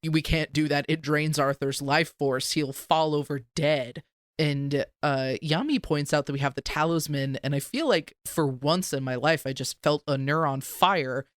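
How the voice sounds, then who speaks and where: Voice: mid-range voice, dry recording, clean studio sound. A podcast co-host talking casually in an informal fan discussion.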